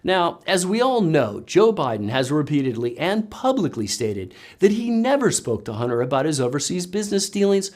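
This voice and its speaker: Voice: medium pitch, very animated, moderate pace, few pauses, darkish tone. A narrator reading scripted political commentary with pointed, sardonic emphasis.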